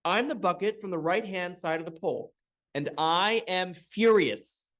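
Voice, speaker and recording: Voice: brisk, fairly bright tone, natural voice. A storyteller voicing an angry, self-pitying cracked bucket that accuses its owner in a raised, strained voice. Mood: furious. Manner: indignant, raised pitch, accusing.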